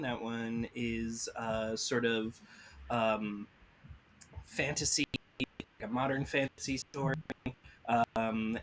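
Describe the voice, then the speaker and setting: A man who works as a voice actor, chatting casually about his projects in a halting, word-searching way. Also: medium pitch, fairly bright tone, poor audio. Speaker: voice actor, guest on a panel. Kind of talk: casual chat, plugging projects.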